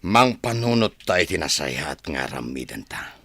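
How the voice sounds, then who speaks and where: A man's voice: extremely low pitch, sing-song, unhurried, sharp tone, breathy edge, no room sound, full character voice. A man playing an arrogant, scheming spoiled rich son in an Ilocano radio drama, haughty and domineering. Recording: dry studio, music underneath.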